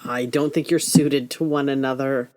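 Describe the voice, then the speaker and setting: A woman playing a wise, calm middle-aged mother in a period audio play. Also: extremely low pitch, normal speed. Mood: calm, concerned.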